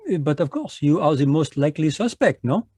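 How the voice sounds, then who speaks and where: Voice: medium pitch, animated, brisk, darkish tone, slightly rough, no room sound. A performer voicing a fussy, polite, shrewd Belgian male detective with a Belgian French accent, speaking courtly and hesitant in a live radio drama read over a video call. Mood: probing.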